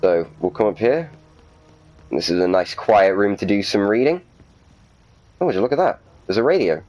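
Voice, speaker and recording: Low-voiced man, chatty gaming host. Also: expressive, moderate pace, darkish tone, soft background music. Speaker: gaming video host and reader. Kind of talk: casual gaming commentary and document reading.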